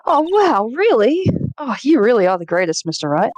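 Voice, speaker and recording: A woman's voice: fairly high pitch, sing-song, normal speed, darkish tone, slight background noise. A woman voicing an apologetic young female museum worker in a video game dub, hesitant and gushing. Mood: relieved, gushing.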